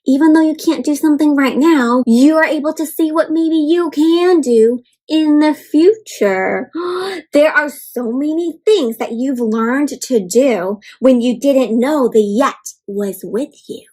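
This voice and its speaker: Woman, high voice, children's storybook read-aloud host, expressive delivery, exaggerated gasps, questions aimed at young listeners. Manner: addresses children directly with frequent questions. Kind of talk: interactive picture-book read-aloud for children.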